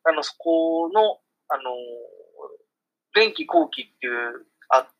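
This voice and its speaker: Male interview guest, breathy voice swinging animatedly in pitch, modest, polite and a little hesitant. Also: wildly swooping pitch, slow pace, lo-fi sound. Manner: humble, hesitant, self-effacing. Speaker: radio guest, trampoline instructor. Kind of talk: casual polite interview talk.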